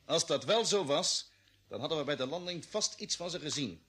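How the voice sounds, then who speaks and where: An actor playing a calm, decisive male expedition leader in a vintage Dutch radio play, giving brisk orders with authority. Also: fairly high pitch, slightly rough, heavily acted. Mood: confident. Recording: old radio recording with faint noise.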